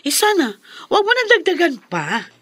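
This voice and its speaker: A woman's voice: very high voice, huge pitch swings, moderate pace, fairly bright tone, no room sound, full character voice. An actress playing a worried, scolding young woman in a Filipino radio drama, pleading and reproachful.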